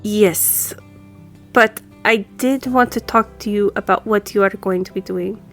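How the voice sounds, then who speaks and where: A woman's voice: medium pitch, lightly acted. A woman playing a self-assured, friendly law firm boss in an audio roleplay, talking to the listener in a composed, personable way.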